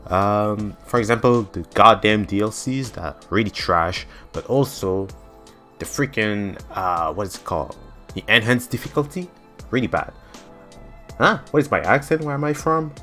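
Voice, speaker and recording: A man's voice: on the low side, normal speed, bright, forceful tone, faint music behind. A man in his twenties, a native French speaker with a French accent, giving casual, blunt gameplay commentary and swearing freely. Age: 20s. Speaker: gamer.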